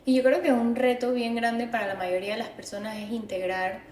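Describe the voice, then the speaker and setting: A woman speaks as a podcast co-host in a thoughtful, explanatory manner. Her intonation stays flat and even. The sound is roomy and a little far from the microphone. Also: a little high, fairly quick. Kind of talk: reflective conversational discussion. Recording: roomy, distant mic, faint noise.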